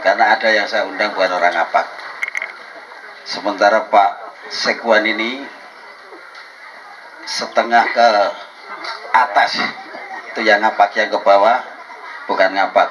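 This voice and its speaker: Deep flat-voiced man giving formal speech. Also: highs cut off. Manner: measured, formal greetings with light joking. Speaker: speaker at a community gathering. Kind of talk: formal speech with religious opening. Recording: noisy event recording.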